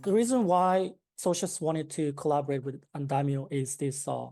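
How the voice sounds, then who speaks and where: A woman's voice: deep voice, steady tone, moderate pace, dark tone, soft background music. A woman from Japan with a Japanese accent, a founder being interviewed, explaining at length with many fillers and restarts. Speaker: startup founder, guest.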